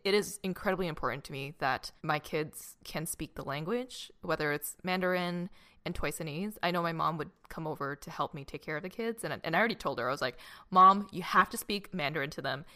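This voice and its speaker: A woman's voice: low-pitched, fast talker, a bit bright. A young woman co-hosting a podcast in casual, reflective chat, often saying "like" and "you know". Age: young adult. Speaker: podcast co-host.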